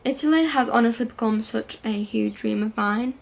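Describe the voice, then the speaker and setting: A woman's voice: on the high side, moderate pace, vocal fry. A woman, talking candidly and casually to camera as a vlogger, with frequent "like" and "um" fillers. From United Kingdom. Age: late teens. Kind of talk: casual confessional talk to camera.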